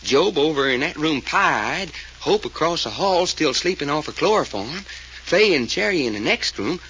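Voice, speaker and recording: Folksy high-voiced cowboy detective. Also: male voice, sing-song, normal speed, pushed and bright, exaggerated voice. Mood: puzzled. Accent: American South-western. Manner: folksy, drawling, stammering when puzzled. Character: folksy drawling cowboy detective, Doc, earthy and puzzled. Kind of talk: 1940s radio serial acting. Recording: muffled, noisy old 1940s radio recording.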